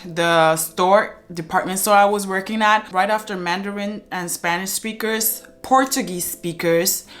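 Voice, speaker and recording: A woman's voice: on the low side, a bit bright. A young woman giving earnest, chatty advice to the camera from her living room, with many "uh" fillers. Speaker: language vlogger.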